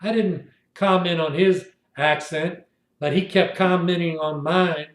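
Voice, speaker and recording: A man's voice: on the high side, steady tone, a little slow, reverberant. A man in his sixties telling a long, indignant story in a rambling, repetitive monologue. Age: 60s. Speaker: video blogger. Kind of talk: rambling first-person monologue.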